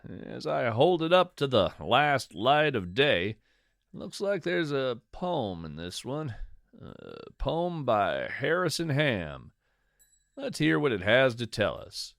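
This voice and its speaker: A man's voice: mid-range voice, sing-song, slow, creaky, a bit bright, no room sound. A man hosting a fiction podcast as a whimsical, folksy storyteller, musing conversationally close to the microphone. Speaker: podcast host and narrator.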